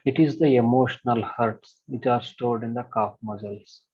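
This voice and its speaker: A man leading a guided body-scan meditation, giving gentle instructions and softly repeating affirmations. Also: medium pitch, fairly even intonation, moderate pace. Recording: dull lo-fi recording.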